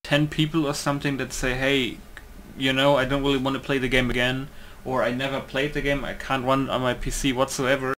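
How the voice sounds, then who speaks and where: A man's voice: mid-range voice, level tone, a little fast, hardly any pauses, a bit bright. Casual man rambling over gameplay, noisy background. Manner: rambling, self-interrupting. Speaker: gaming YouTuber. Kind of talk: casual let's-play commentary.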